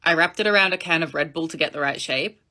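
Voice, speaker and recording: A woman's voice: sits low, quick pace, bright, forceful tone. A woman narrating a scripted craft tutorial as its maker, explaining step by step in a matter-of-fact way.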